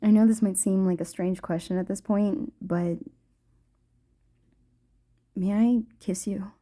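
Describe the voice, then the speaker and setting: A woman playing a gentle, playful ghost girlfriend in an intimate romantic audio roleplay, speaking softly and formally with halting hesitations. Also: mid-range voice, fairly even intonation. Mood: touched, tender. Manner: soft, hesitant, formal wording with halting stammers. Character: gentle playful ghost girlfriend, shy, tender, old-fashioned speech.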